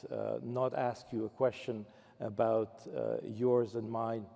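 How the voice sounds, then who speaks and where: Very gravelly mellow older man moderating. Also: medium pitch, level tone, slow pace. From Odessa, Ukraine. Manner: hesitant, stop-and-start phrasing. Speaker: interviewer, moderator. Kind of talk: on-stage interview. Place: large hall at a summit. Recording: reverberant hall.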